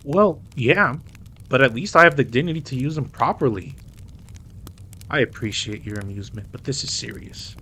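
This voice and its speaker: A man's voice: mid-range voice, lively intonation, normal speed, bright, forceful tone, a little hiss or hum. A man playing a dominant, teasing mafia boss in a roleplay audio drama, commanding and sometimes slipping into Spanish. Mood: indignant, serious. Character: dominant, teasing male mafia boss, indignant yet protective.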